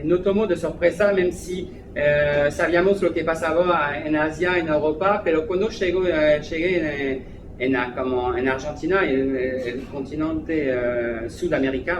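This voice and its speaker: Brief: French-accented man, hesitant restaurant manager, slightly high voice, echoey noisy room. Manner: hesitant, many fillers. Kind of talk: interview. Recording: echoey room, background noise.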